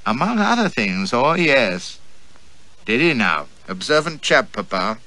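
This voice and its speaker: A man voicing a swaggering, roguish gentleman who talks in a brash, leering, jovial way. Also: medium pitch, sing-song, unhurried, pitched above the performer's own voice, exaggerated voice, poor audio. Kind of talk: audiobook character voice.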